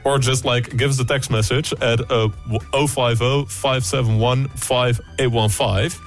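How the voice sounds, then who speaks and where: A man's voice: medium pitch, steady tone, normal speed, soft background music. A man co-hosting a casual radio show, playful with joking asides. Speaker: radio co-host.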